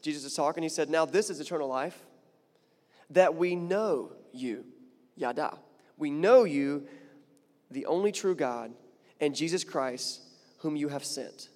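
A man's voice: a little high, a little slow, pauses often, darkish tone, in a large hall. A man preaching a sermon in a chatty, conversational way, building to emphatic points and often repeating words. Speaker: pastor.

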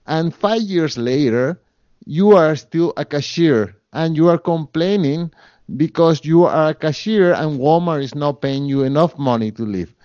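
A man's voice: fairly high pitch, a little slow, dark tone, no room sound, dull audio. A man with a Spanish accent, a guest in an interview, talking earnestly with many fillers and restarts. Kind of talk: interview conversation.

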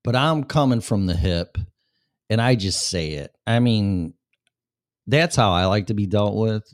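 A man's voice: lowish voice, animated, a little slow, no room sound, clean studio sound. A man with a Chicago accent hosting a casual chat show, rambling and blunt, with plenty of swearing. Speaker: show host. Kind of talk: casual livestream chat with viewers.

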